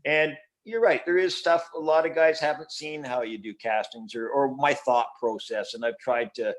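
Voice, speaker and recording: A man's voice: mid-range voice, steady tone, moderate pace. An older man chatting casually as an interview guest, rambling in a folksy, self-deprecating way about his hobby. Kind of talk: casual chat.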